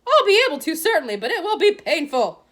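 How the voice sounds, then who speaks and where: A woman voicing a stern, quick-tempered school nurse who scolds in a raised, shrill voice. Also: big pitch swings, a bit bright, heavily acted. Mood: scolding.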